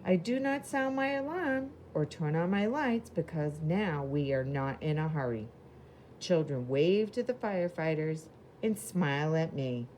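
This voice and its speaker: Children's storybook role: eager little fire engine read by a woman, proud, expressive, swooping intonation in a mellow tone. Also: sits low, unhurried, slight background noise. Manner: expressive, simple storybook delivery. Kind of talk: children's storybook character narration.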